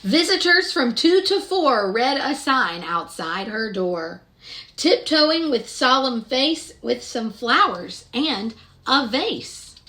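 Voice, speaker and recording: A woman with a high, bright voice reads a storybook to young children. She takes it slowly and expressively, shaping each phrase with care. Now and then she speaks directly to her listeners. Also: lively intonation. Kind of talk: picture-book read-aloud with questions to young listeners.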